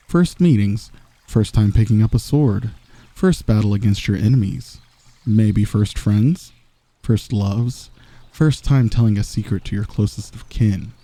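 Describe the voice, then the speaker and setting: A man's voice: lowish voice, warm tone, no room sound. A man playing a smug, confident villain who teases and flirts with a rival in an intimate ASMR roleplay. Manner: teasing, smug, drawling taunts. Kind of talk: romantic ASMR roleplay, intimate scripted monologue.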